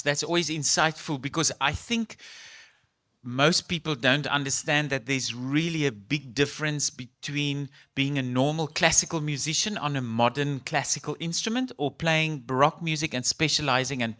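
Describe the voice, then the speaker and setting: A man's voice: on the high side, a bit bright. A man hosting a festival talk, asking playful, teasing questions. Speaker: host and pianist. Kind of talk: festival stage interview.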